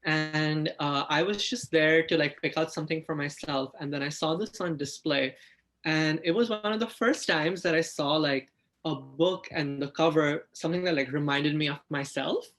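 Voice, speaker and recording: A man's voice: a little high, steady tone, moderate pace, fairly bright tone. A man who is a drag artist, sharing stories in an enthusiastic, informal way. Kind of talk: picture-book reading and casual sharing.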